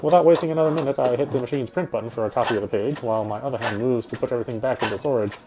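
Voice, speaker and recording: A man reading a horror visual novel aloud in an expressive, first-person storytelling manner. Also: medium pitch, racing, noisy background. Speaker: let's-player reading a game aloud. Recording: game music behind the voice. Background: game music.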